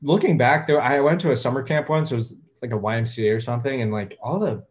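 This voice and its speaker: A man in his thirties, a guest talking casually in an interview, with a relaxed, hesitant way of talking full of fillers and restarts. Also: mid-range voice, fast. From United States. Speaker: guest, music producer.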